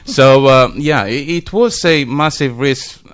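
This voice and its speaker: A soft-spoken, quiet man speaking hesitantly as a guest on a radio talk show. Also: medium pitch, slow pace, clear, resonant tone. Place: radio studio.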